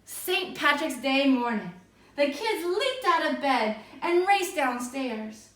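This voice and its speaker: A woman with a high voice narrates a children's story expressively, as if to a class. She sounds slightly away from the microphone in an echoey room. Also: very animated, takes it slowly, a bit bright, distant mic. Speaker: storybook reader for schoolchildren. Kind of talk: expressive children's read-aloud.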